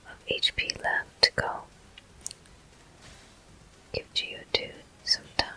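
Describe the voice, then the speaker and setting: Slow, breathy ASMR whisper, gaming commentary. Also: low-quality recording. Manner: soft, unhurried, gently narrating each step. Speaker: gaming video host.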